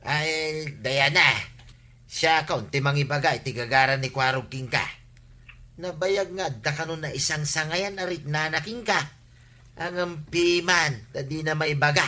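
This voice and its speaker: An actor playing a meddling, cheeky older neighbor in an Ilocano comedy radio drama, hemming and coaxing in an Ilocano accent. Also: male voice, a little high, a little slow, sharp tone.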